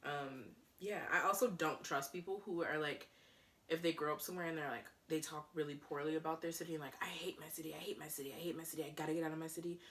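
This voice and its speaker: A woman's voice: low voice, steady tone, quick pace, a bit bright, recorded from a distance. A woman in her early twenties from upstate New York, chatting casually and rambling with lots of ums and giggles as a vlogger. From Rochester, New York, USA. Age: 20s. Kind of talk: casual get-to-know-me chat.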